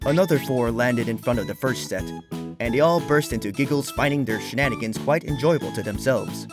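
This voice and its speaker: A man reading a fan fiction story as a scripted narrator. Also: mid-range voice. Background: background music.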